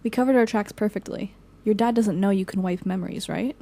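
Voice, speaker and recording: A woman's voice: mid-range voice, fairly quick, mellow, lightly acted. An actress playing a weary, frank young woman, a supportive friend, in casual audio drama dialogue. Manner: casual, candid.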